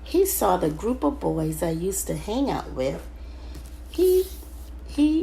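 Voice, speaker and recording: A woman reading a children's book aloud in first person, halting now and then over the words. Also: medium pitch, gravelly, warm tone, slight background noise, poor audio. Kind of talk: storybook read-aloud narration, first person.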